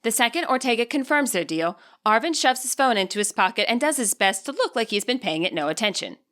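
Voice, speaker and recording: Female audiobook narrator, high and bright, expressive storytelling with lively intonation. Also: fairly quick. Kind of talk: scripted story narration, present tense.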